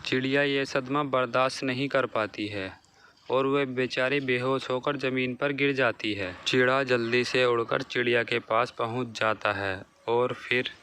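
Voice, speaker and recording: A man's voice: mid-range voice, steady tone, measured pace, sharp tone, a little hiss or hum. A man narrating a scripted children's moral story as a storyteller.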